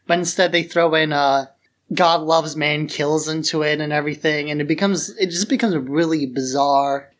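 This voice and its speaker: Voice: medium pitch, steady tone, moderate pace. A podcast co-host chatting casually about films in an informal discussion.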